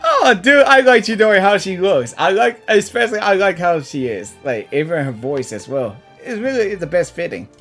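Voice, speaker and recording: Low-voiced, lively woman reacting to a game. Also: normal speed, few pauses. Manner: informal, excitable, repeats herself. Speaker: gaming reaction streamer. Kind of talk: casual reaction commentary. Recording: game music behind the voice.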